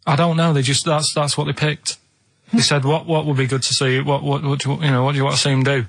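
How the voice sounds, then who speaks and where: A man's voice: a little high, level tone, fast talker, a bit bright. A man chatting on radio as producer and co-host, plain-spoken, earnest and a bit defensive. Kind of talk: casual radio chat. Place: radio studio.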